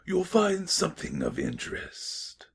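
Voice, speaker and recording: A man playing a sly, sadistic pony king in a fan fiction audio drama, slow and menacing with a gravelly rasp. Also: on the high side, wildly swooping pitch, heavily acted. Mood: sly, taunting. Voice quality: gravelly, raspy.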